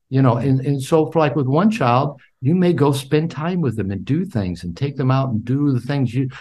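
A man's voice: mid-range voice, a little mellow. A man in his sixties, a podcast guest and author, talking casually and often repeating words as he starts sentences. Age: 60s. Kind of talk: casual interview talk. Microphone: call.

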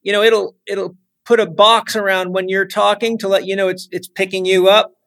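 Middle-aged man, podcast host, high-pitched, enthusiastic, rambling, casual chat. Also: flat delivery, normal speed, gravelly, a bit bright. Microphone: podcast microphone.

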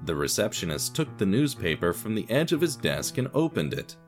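Male podcast drama narrator, fairly deep, expressive scripted read over faint guitar music. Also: fairly quick.

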